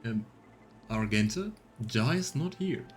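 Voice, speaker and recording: A man's voice: medium pitch, expressive, slow, soft background music. A man giving casual let's play commentary, thinking aloud as he weighs choices in a role-playing game.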